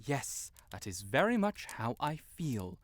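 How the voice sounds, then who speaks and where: Man playing a pompous, flustered wizard, swooping pitch. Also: sing-song, unhurried. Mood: indignant, haughty. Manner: pompous, theatrical, wordy, sputtering. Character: haughty, flustered young male wizard hiding a crush, compelled to honesty. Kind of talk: audio roleplay acting addressed to the listener.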